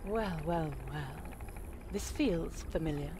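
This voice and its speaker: A menacing game villainess who thinks herself a goddess. She draws out her words slowly with haughty theatrical relish, over clearly audible background noise. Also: deep voice. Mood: smug menace. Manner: theatrical, drawn-out, haughty delivery. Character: menacing, grandiose villainous woman claiming to be a goddess. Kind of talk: video game voice acting.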